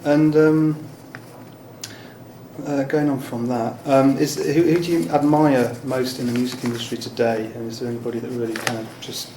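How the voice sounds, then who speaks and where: A man's voice: mid-range voice, steady tone, normal speed, mellow. Guy doing a chatty interview, rambles through his questions, echoey with background noise. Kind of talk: casual interview chat.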